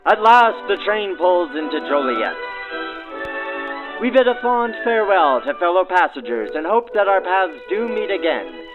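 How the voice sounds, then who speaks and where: A man's voice: sits high, sing-song, normal speed, narrow, tinny audio. A man hosting in the style of 1940s radio, narrating and interviewing through a vintage radio filter. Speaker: host and interviewer. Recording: thin old-time radio sound, music behind.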